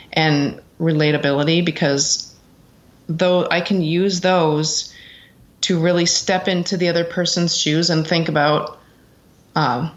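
A middle-aged American woman giving earnest, reflective answers as an interview guest in a conversational way. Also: low voice. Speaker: interview guest, executive assistant. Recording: dull, lo-fi sound.

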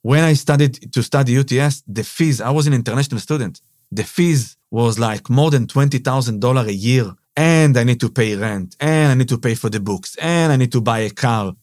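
A man's voice: medium pitch, normal speed, darkish tone, no room sound, clean studio sound. Israeli-accented man hosting a podcast. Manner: non-native phrasing, frequent "uh" and "basically". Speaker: podcast host and business owner. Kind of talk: casual monologue.

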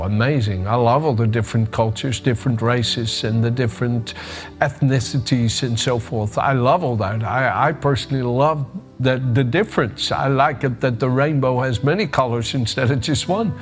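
Dutch-accented older man preaching. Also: medium pitch. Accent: Dutch with a bit of American. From Netherlands. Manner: conversational, searching for words, frequent restarts. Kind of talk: devotional sermon.

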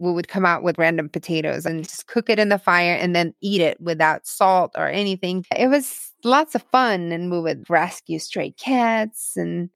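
A woman's voice: on the low side, creaky, a bit bright, no echo, studio quality. A young woman from Ukraine, speaking English with a Ukrainian accent and Russian as her first language, earnestly recalling her past as an interview guest. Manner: earnest, reminiscing, plain non-native phrasing. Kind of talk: personal testimony interview.

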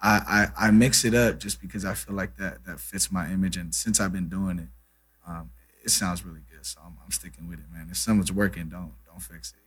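A man's voice: low voice, fairly even intonation, fairly quick, fairly bright tone, husky. A man in his thirties from Brazil, a native Portuguese speaker, chatting casually as a guest musician, laid-back and full of "you know" and "man". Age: 30s. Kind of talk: casual interview chat.

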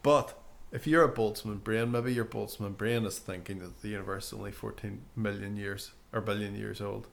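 A man's voice: lowish voice, steady tone, normal speed, gravelly, hardly any pauses. A man co-hosting a podcast, explaining ideas and agreeing often in casual chat. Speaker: podcast co-host. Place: podcast studio.

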